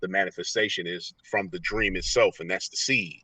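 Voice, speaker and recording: A man's voice: deep voice, fairly even intonation, fairly quick, sharp tone, husky, dry recording. An American man talking casually and motivationally as an interview guest, slangy and often checking that the listener follows. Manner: slangy, repeats "you know what I'm saying". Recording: slightly distant, dull lo-fi sound.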